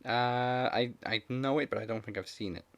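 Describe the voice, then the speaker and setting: A man co-hosting a casual podcast, chatty and quick to laugh, retelling film scenes with put-on voices. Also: medium pitch, no echo, muffled recording. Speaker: podcast co-host.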